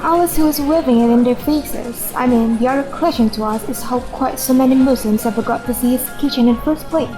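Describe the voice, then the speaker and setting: Woman playing a wry, high-voiced wife. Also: fairly quick, few pauses, over music, natural voice, rough recording. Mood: wry, teasing. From Indonesia. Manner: wry, probing. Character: questioning, teasing wife, fair-minded and wry. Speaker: actor in a student radio drama. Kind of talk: radio drama acting.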